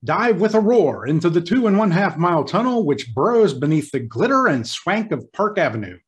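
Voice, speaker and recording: A man's voice: high voice, sing-song, normal speed, highs cut off. A man narrating an old-time radio drama in a grand, theatrical, scripted manner.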